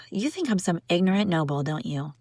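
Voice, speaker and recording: A woman playing a sharp-tongued young princess bride who is secretly lovesick, in an intimate audio roleplay, teasing and indignant with sighs and broken-off phrases. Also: fairly low pitch, very animated, normal speed, slightly rough, no room sound. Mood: indignant, proud. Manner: teasing, indignant, sighing, breaking off mid-sentence. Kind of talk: intimate scripted audio roleplay addressed to listener.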